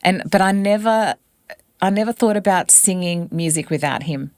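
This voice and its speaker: Low-voiced West Papuan woman, reflective. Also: normal speed, no echo. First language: a West Papuan language. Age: 40s. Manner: earnest, reflective, animated on family memories. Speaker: singer-songwriter guest. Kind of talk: personal storytelling in an interview.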